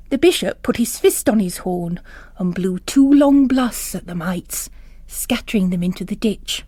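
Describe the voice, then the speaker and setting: A woman's voice: on the high side, dark tone, no room sound. A woman reading scripted literary fiction aloud as a narrator. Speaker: narrator and reader.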